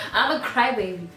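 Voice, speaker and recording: A young woman from Congo co-hosting a casual chat, giving short, teasing replies. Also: on the high side. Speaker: channel co-host. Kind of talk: casual get-to-know-me chat. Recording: roomy sound, mic at a distance, clear music behind.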